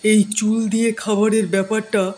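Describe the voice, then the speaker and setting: A man's voice: sits high, level tone, fairly quick, noisy background, close to an everyday voice. A man playing a suspicious, inquisitive villager in a cartoon, talking earnestly and insistently. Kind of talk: cartoon moral-story dialogue. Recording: echoey room sound with faint music.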